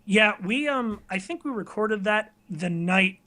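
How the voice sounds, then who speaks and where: A man's voice: high-pitched, animated, measured pace, bright, forceful tone, slight background noise. A man chatting casually as an interview guest, talking in a self-deprecating way about himself as an online video creator. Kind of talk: casual chat.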